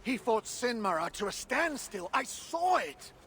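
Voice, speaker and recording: An actor playing an eager, concerned dwarf companion in a video game. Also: male voice, extremely high pitch, sing-song, a little slow, exaggerated voice. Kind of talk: dramatic video game acting. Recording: long reverb, loud background noise.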